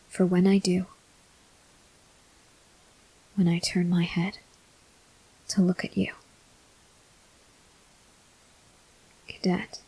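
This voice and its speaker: Woman acting as brooding male vampire officer, very breathy ASMR whisper, very slow halting phrases, grave, formal, guilt-ridden. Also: fairly low pitch, warm tone, heavily acted, rough recording. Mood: tormented, hungry. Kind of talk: ASMR roleplay to the listener.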